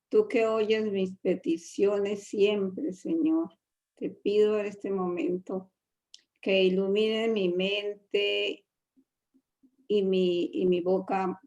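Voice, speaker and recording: A woman's voice: medium pitch, creaky, dark tone, rough recording. A woman with a Colombian accent giving a devotional talk and prayer, hesitant and humble, with many fillers. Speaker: guest speaker in a women's Bible group.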